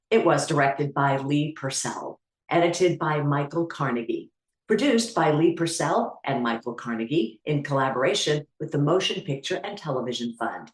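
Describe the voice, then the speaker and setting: A woman's voice: low-pitched, echoey room, rough recording. A woman hosting, giving an upbeat, earnest scripted introduction to a radio play and appeal for donations. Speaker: host.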